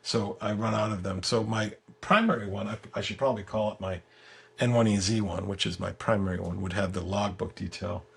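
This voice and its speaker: Man, low voice, heavy creak, casual product demo, halting stop-start explanations. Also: fairly even intonation. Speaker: software creator giving a product demo as guest. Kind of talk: casual screen-share walkthrough.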